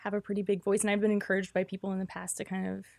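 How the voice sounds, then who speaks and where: A woman band member chats loosely in an interview, stringing thoughts together with lots of "like". Her voice has a gravelly, fry-tinged edge. The sound is close and dry, with no room around it. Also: medium pitch, rapid-fire, no room sound. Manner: chatty, animated, lots of "like". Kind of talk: casual interview chat.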